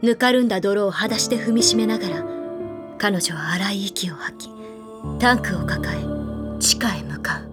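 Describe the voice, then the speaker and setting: Woman as gothic tale narrator, dramatic, intense, literary, loud music bed. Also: medium pitch, moderate pace, lightly acted. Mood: ominous. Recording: loud background music under voice.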